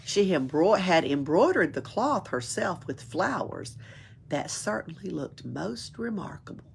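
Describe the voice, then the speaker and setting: A woman reads a children's book aloud in an expressive storytelling way. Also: on the low side, unhurried, gravelly, slight background noise. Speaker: library storyteller.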